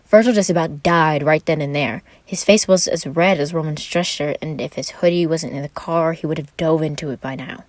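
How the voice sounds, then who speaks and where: A woman reading scripted fan fiction as an expressive story narrator. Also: low voice, brisk, hardly any pauses, no room sound. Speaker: podfic narrator.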